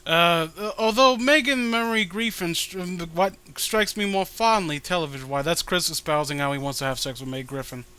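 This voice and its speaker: A man's voice: high voice, normal speed, few pauses, pushed and bright, clean tone. A man giving casual, rambling and profane commentary as he co-hosts a read-along. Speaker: co-host of a comic read-along video.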